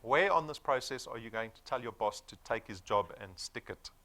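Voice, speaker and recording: Animated South African man presenting, forceful voice. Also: mid-range voice, normal speed, a little hiss or hum. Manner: emphatic, persuasive, rhetorical questions and tag "right?". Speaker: sales presenter. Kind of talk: motivational business presentation to an audience.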